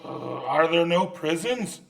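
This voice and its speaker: A man's voice: fairly high pitch, expressive, takes it slowly, creaky, exaggerated voice, poor audio. A man playing a cold, miserly old businessman who is curt and scornful, in old-time radio drama style. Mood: cold, sardonic. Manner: curt, scornful, barking orders.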